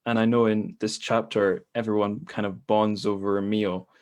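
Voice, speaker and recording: A man's voice: lowish voice, level tone, normal speed, darkish tone. A man hosting a podcast, chatting informally and conversationally about books. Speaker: podcast host. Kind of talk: casual book discussion.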